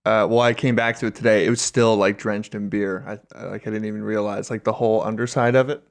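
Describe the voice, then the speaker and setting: A man co-hosting a comedy podcast in casual, giggly chat with halting phrasing. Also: fairly low pitch, steady tone, creaky. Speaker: podcast co-host and editor.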